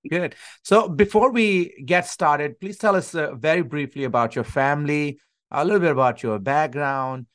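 A man in his thirties who hosts a podcast and is a senior pastor, interviewing a guest in a casual way with many pauses and fillers. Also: on the high side. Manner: warm-up chatter with many uh fillers, slightly formal phrasing.

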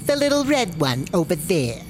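A woman playing a scheming, cheerfully menacing synagogue elder in a comic audio drama, coaxing with mock-gentle menace. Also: fairly low pitch, big pitch swings, moderate pace, soft background music. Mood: matter-of-fact.

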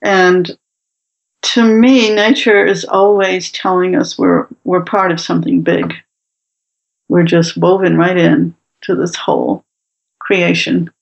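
A woman's voice: fairly low pitch, fairly even intonation, slow, vocal fry, long pauses. Thoughtful woman guest, slightly dull audio. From Morocco. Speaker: writing workshop teacher, guest. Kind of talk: interview, reading her own prose aloud.

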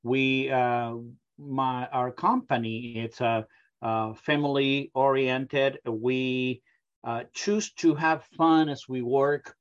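A middle-aged man from Argentina with an Argentine Spanish accent, a software company founder who talks in a hesitant way with many fillers in a remote interview. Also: mid-range voice, fairly even intonation, slow, smooth, highs cut off. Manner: hesitant, many ums and uhs.

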